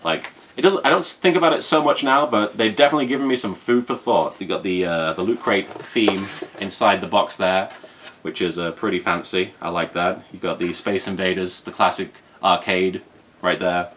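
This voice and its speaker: A man's voice: low voice, normal speed, a bit bright. A man hosting an unboxing in a casual, chatty style, enthusiastic and rambling with jokey asides. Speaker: unboxing show host. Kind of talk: casual chat, product unboxing commentary.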